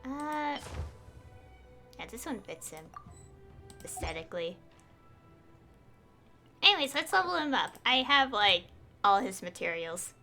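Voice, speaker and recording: High, bright-voiced woman game streamer. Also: unhurried, pauses often, soft background music. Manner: excitable, rambling to viewers. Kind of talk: casual live game stream chat.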